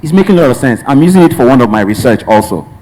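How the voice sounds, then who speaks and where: A man's voice: mid-range voice, expressive, very fast, darkish tone, a little hiss or hum, rough recording. A man from Nigeria speaking on a conference panel in a mid-range voice. He talks earnestly and at length, circling back and restarting his sentences as he works through his point. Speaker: panelist, hub representative.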